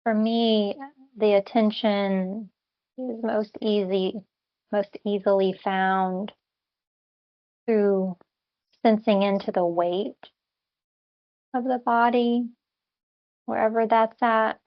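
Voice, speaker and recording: A woman leading a guided body scan meditation as a teacher, speaking in gentle, unhurried instructions with long pauses. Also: medium pitch, fairly even intonation, highs cut off.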